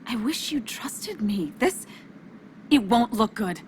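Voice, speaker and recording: An actress playing an earnest, principled journalist in a video game drama, speaking in an emotional, pleading way. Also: female voice, on the high side, animated, slow pace, a little hiss or hum. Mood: hurt, firm. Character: earnest, principled female journalist, anxious but loyal friend.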